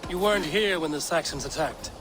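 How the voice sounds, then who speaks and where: An actor playing a stern Viking warrior who questions others in a measured, direct way, in a video game. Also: male voice, a little high, over music, natural voice. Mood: stern, probing. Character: stern, probing Viking warrior acting as interrogator. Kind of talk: video game dramatic acting.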